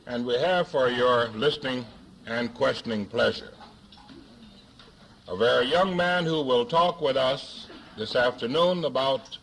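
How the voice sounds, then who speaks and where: A man hosting a forum, introducing a speaker in a formal but jocular way with deliberate, halting phrasing, on an archival 1970s recording. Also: mid-range voice, a bit bright, slight background noise, poor audio. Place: live luncheon forum before an audience.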